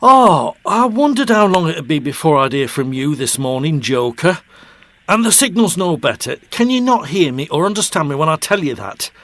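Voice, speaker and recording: A man's voice: on the high side, sing-song, moderate pace, fairly bright tone. A man acting in an audio drama as an irritable, overworked local radio travel presenter. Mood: businesslike. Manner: colloquial British speech, easily riled.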